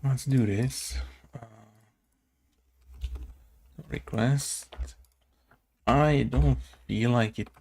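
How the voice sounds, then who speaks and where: A man talking casually to himself as he works through code, muttering in a halting, unscripted way, as a game developer recording his screen work. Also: medium pitch, darkish tone. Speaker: game developer making a tutorial video. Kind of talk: casual thinking aloud while coding. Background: keyboard typing and clicking.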